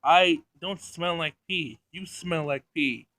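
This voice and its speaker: A man's voice: fairly high pitch, takes it slowly, pushed and bright. Man reading as Allie, a blunt little girl. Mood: indignant. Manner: childish, simple, direct wording. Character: curious, blunt seven-year-old girl.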